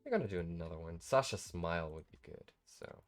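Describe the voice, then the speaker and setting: A man's voice: low voice, expressive, a little fast. A tired man hosting a casual live tutorial, thinking aloud in a rambling, self-deprecating way. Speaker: livestream host.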